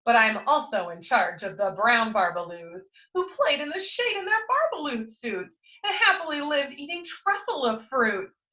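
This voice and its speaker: A woman's voice: high-pitched, big pitch swings, normal speed, hardly any pauses, sharp tone, voice pushed up, exaggerated voice. A woman reading a storybook, voicing an indignant, scolding old forest creature who snaps out declamatory rhymes. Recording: roomy, slightly dull, distant microphone.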